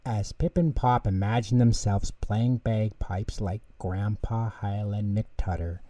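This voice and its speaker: A man's voice: on the low side, slow, mellow. A man reading a children's bedtime story as scripted narration, recorded in an echoey room at some distance from the mic.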